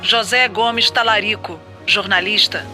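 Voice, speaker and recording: An actor playing a stern Brazilian official announcer in a radio drama, reading out a decree and names in clipped, solemn declamation. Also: male voice, high voice, fairly even intonation, unhurried, over music. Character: stern official announcer proclaiming a dismissal list.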